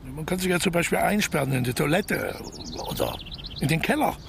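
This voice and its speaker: An actor playing a cocky, smooth-talking con man twin in a radio crime comedy, persuasively laying out his plans. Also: on the high side, lively intonation, brisk, a little hiss or hum. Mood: placating.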